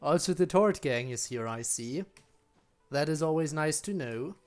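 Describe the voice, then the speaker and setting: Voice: mid-range voice, expressive, a little slow, darkish tone, faint music behind. Casual, offhand game streamer. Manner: offhand, chatty. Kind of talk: casual live stream commentary.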